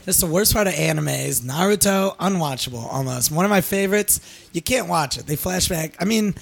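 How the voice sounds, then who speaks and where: A man's voice: fairly high pitch, lively intonation, fairly quick, gravelly. A man co-hosting a casual podcast, rambling with lots of fillers and laughs. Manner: rambling, filler-heavy, laughs while talking. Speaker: podcast co-host. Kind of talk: casual comedic chat.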